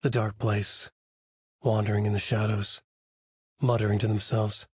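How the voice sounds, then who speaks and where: A man playing a brooding, haunted writer in a video game, narrating a dark noir thriller in grave, clipped, dramatic phrases with a husky voice. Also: on the low side, little pitch movement, measured pace, dry recording, clean studio sound. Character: brooding, haunted male writer trapped in a nightmare.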